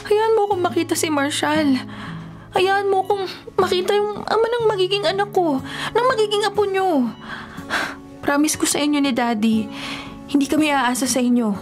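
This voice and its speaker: High-voiced, earnest young Filipino daughter. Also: female voice, normal speed. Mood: pleading, tearful. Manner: emotional, pleading and tender. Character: young pregnant rich man's daughter, earnest and devoted. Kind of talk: Tagalog radio drama acting. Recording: radio drama with music underneath.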